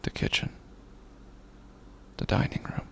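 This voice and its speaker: An actor playing a grieving, isolated, unhinged man in a first-person horror audio drama, breathy and gravelly, often whispering, with a halting, repetitive delivery. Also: fairly bright tone, slight background noise, lightly acted. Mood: hushed, numb.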